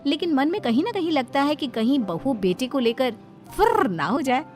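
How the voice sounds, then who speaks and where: A woman giving scripted narration for an animated moral bedtime story. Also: high voice, normal speed. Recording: music behind the voice. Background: background music.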